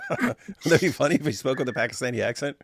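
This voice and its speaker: Lively gravelly man joking on a podcast. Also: medium pitch, fast, dry recording, studio quality. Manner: lively, joking, repeats phrases for effect. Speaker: co-host. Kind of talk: casual reaction chat.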